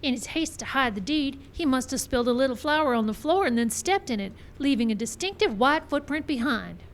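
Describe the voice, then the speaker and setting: A woman playing a sharp, confident Wild West sleuth in an old-time radio mystery style, performed live. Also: high-pitched, lively intonation, fairly quick. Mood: confident, explaining. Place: live show in a park. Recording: live outdoor stage, some background noise.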